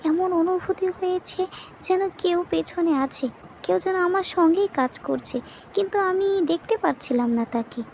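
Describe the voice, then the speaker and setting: A woman acts as an excitable housewife in a horror cartoon. Her voice is high and expressive, chatty and coaxing, with faint noise behind it. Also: normal speed, creaky. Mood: uneasy. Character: excitable, homely young wife in a new house.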